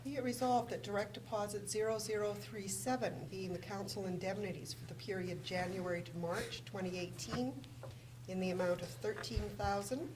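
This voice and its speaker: A woman administrator reading formal council resolutions and figures aloud in a measured way in a council chamber. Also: medium pitch. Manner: measured, reads figures carefully. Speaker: municipal administrator. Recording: distant mic with room noise.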